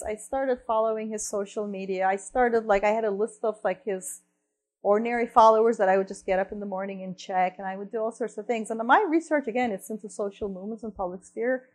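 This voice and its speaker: A fairly high-voiced woman from Turkey speaks in the lively way of a lecture. She checks in with "right?" and often restarts her sentences, thinking aloud as she goes. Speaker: researcher and columnist giving a talk. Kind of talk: lecture-style talk.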